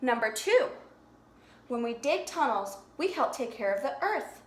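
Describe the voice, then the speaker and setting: High-voiced woman reading slowly to preschoolers. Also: sing-song. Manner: simple explanatory phrasing, prompting listeners with questions. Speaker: preschool teacher reading a picture book aloud. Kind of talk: storybook read-aloud with questions to young children. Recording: echoey room.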